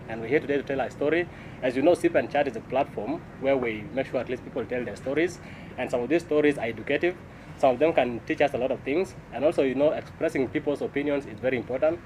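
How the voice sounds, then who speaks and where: Male host over clear street noise. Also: mid-range voice, a little mellow, rough recording. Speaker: interview show host. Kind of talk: conversational interview hosting. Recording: outdoor traffic noise behind voice.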